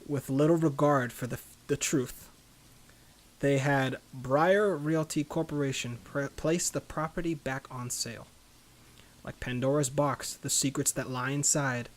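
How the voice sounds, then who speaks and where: Even-toned man reading game text aloud. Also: mid-range voice, a little slow. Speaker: let's play host. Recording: faint background noise.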